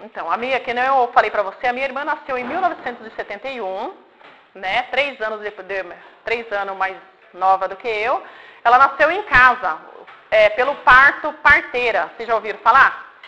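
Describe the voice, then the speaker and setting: A woman's voice: on the high side, fairly quick, bright, forceful tone, a little hiss or hum, low-quality recording. A woman in her forties from Paraná, telling her life story to a group of children in a simple, friendly way and often checking that they follow. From Paraná, Brazil. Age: 40s. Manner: simple explanations with frequent checks like "tá?" and "né?". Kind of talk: informal talk with questions from an audience.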